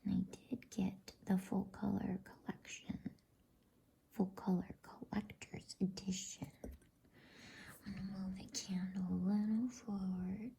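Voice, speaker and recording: A woman whispers softly and breathily in a gentle, informal, unhurried way, hosting an ASMR bedtime reading. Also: mid-range voice, little pitch movement, creaky, slight background noise, low-quality recording. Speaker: bedtime story reader and host.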